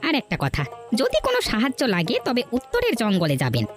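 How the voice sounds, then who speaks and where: A woman's voice: fairly high pitch, wildly swooping pitch, quick pace, soft background music. A woman voicing a bold, grateful bandit man from a cartoon folktale, with an urgent, dramatic manner. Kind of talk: Bengali cartoon folktale voice acting.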